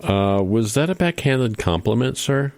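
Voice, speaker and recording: A man's voice: medium pitch, lively intonation, a little slow, darkish tone, no room sound. A man playing an eager, bumbling middle-aged dad who hosts a family show in a comedy radio play, earnest and a bit hesitant. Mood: hesitant, polite.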